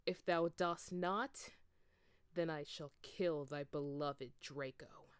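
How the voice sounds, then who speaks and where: A woman voicing a cruel, commanding male dark wizard in a storybook reading, with drawn-out archaic speech. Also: low-pitched, clear, resonant tone, dry recording, studio quality. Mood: cruel threat.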